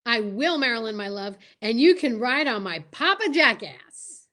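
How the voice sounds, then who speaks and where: Woman playing high-voiced bumbling comic man. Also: big pitch swings, moderate pace, sharp tone. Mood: boastful, sweet. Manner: stammering, hamming it up. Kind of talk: 1940s radio comedy acting.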